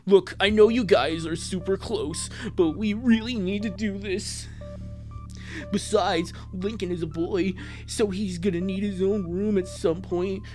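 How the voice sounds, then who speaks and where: A man's voice: high voice, steady tone, measured pace, darkish tone, soft background music. A man voicing a kindly, firm cartoon dad with a hearty, encouraging fatherly manner. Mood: firm explaining.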